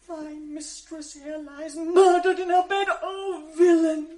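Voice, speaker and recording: A woman's voice: very high-pitched, steady tone, takes it slowly, dark tone. An actress playing Emilia, a bold lady's maid, who accuses and cries out with fierce outrage in a 1980s radio drama. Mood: accusing.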